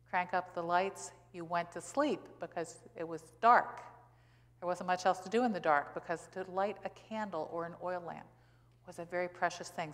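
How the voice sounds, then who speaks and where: An American woman in her sixties, a pastor giving a sermon in a conversational, emphatic way with rhetorical questions and pauses for stress. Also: lowish voice. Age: 60s. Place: church sanctuary, indoors at a pulpit. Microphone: pulpit gooseneck microphone. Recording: reverberant church hall.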